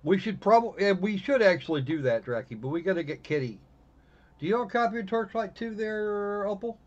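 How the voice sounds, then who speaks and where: A man hosting a live game stream in casual chat, often halting and restarting his phrases. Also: sits high, expressive, a little mellow, muffled recording.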